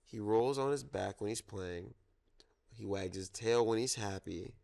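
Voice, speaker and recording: A man reading a children's picture book aloud, pausing to prompt listeners for guesses. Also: on the low side, gravelly, a little mellow. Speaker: storybook reader at a children's story time.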